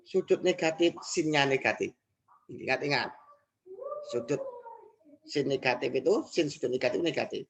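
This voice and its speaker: A man's voice: mid-range voice, animated, normal speed, gravelly, pauses often, highs cut off. Man talking through math problems aloud. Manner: thinks aloud, repeats steps, quiet muttered asides; asks and answers his own questions. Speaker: high school math teacher. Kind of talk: explaining exam problems step by step.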